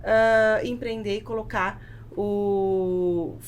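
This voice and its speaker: A woman with a Brazilian accent telling a personal story as an interview guest, in an emphatic and deliberate way. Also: medium pitch, fairly even intonation. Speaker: podcast guest, food business founder. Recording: clear background noise, room ambience.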